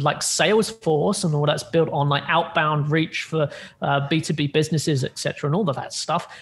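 This is a man in his thirties answering interview questions over a video call with earbuds. His voice sits a bit high for a man, and he works through each point in turn with plenty of ums and restarts. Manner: explanatory, lists points with many fillers and restarts. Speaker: tech analyst guest. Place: home room with plain light blue wall.

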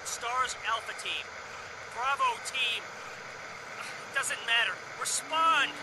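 A man's voice: extremely high pitch, slow. A man playing an anxious helicopter pilot in a video game, calling his team over a radio. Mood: anxious, pleading. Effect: radio transmission. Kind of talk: video game cutscene acting. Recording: noisy radio link with engine drone.

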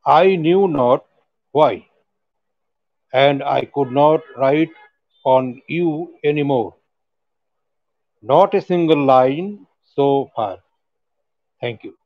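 Older man reciting poetry very slowly. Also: medium pitch, no echo, lo-fi sound. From Bangladesh. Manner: very slow, halting recitation. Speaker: poet. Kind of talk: poetry reading. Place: home room with bookshelves. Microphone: webcam close up on video call.

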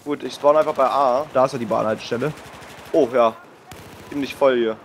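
A man's voice: medium pitch, steady tone, brisk. A man chatting casually and in slang while playing a video game with a friend. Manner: colloquial, slangy. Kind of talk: casual gaming chat with a co-player. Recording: faint game noise behind voice. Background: video game sounds.

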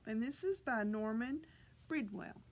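Casting: woman, middle-aged, children's story-time reader, high voice, very expressive pitch, careful enunciation. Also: a little hiss or hum. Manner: deliberate, animated, exaggerated enunciation for children. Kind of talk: expressive picture-book read-aloud for young children. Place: small library children's room, indoors.

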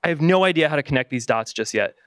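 A man giving a scripted academic conference talk, reading aloud in formal, wordy, measured phrases. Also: a little high, lively intonation, a bit bright, clear, resonant tone, no echo, clean studio sound. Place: conference session.

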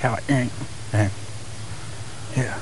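A man investigating a tunnel at night, talking in low, hushed tones and earnestly coaxing spirits to respond. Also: fairly even intonation, slow, a little hiss or hum, rough recording. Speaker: paranormal investigator. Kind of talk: casual chat, calling out to spirits. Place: dark tunnel outdoors at night.

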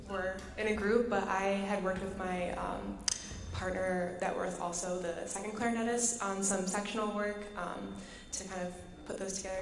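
A young woman from Michigan, a clarinetist, answering casually on a panel. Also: medium pitch. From Michigan, USA. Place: large concert hall stage. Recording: echoey concert hall, faint noise.

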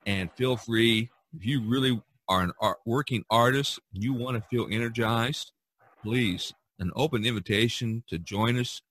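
A man's voice: mid-range voice, a little slow, fairly bright tone, slight background noise. An American man hosting a podcast, talking in an upbeat, emphatic and enthusiastic way. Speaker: podcast host.

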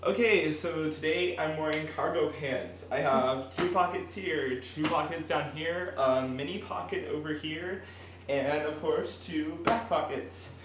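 Teen guy vlogging on his webcam, kinda high voice, roomy echo, bit of hiss. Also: unhurried. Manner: energetic, animated, laughs often. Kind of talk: casual fan vlog. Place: home room, indoors.

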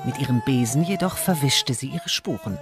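A woman's voice: very deep voice, expressive, normal speed, over music. A woman narrating a fairy tale from a script as a storyteller.

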